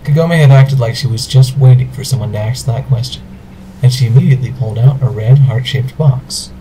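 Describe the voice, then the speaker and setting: A man narrates a scripted tale for an amateur audio drama. His voice is mellow and of average pitch, with a little background noise. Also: brisk, rough recording.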